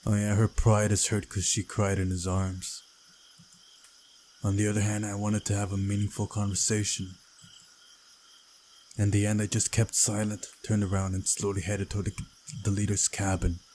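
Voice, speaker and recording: A man's voice: on the low side, little pitch movement, moderate pace, pauses often, a little mellow, a little hiss or hum. A man reading a visual novel aloud as narration, with casual side comments and an occasional stumble over the text.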